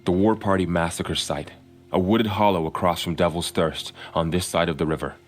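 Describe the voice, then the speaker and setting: An actor playing a grave young warrior guarding a gate, speaking in a solemn, earnest way. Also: male voice, low-pitched, steady tone, moderate pace, soft background music, close to an everyday voice. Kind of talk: video game cutscene acting.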